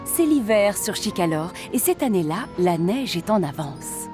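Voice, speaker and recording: A woman narrating a cartoon story in an expressive, scripted way. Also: mid-range voice, moderate pace. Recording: cartoon narration with music behind.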